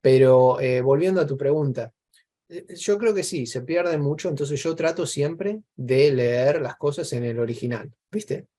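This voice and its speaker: A man's voice: mid-range voice, measured pace, dark tone. A man with an Argentine accent in casual, reflective conversation as an interview guest, explaining things discursively with examples.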